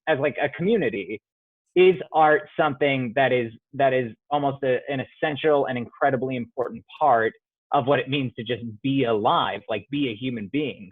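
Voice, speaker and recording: A man's voice: mid-range voice, no room sound. A young man, a playwright, giving thoughtful interview answers with many fillers and restarts over a video call. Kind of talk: reflective casual interview answers. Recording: dry, tinny phone-like sound.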